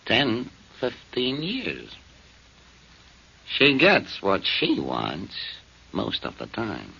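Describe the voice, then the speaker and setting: An actor playing a surly, curt boss of a gambling joint, in 1940s radio drama style. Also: male voice, fairly low pitch, huge pitch swings, extremely slow, gravelly, sharp tone, breathy edge, full character voice. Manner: curt, defensive. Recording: dull old radio broadcast.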